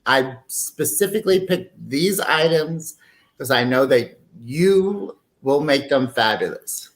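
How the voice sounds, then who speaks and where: Man, drag queen guest, slightly high voice, playful, chatty, cheeky. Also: animated, takes it slowly. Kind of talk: casual catch-up chat.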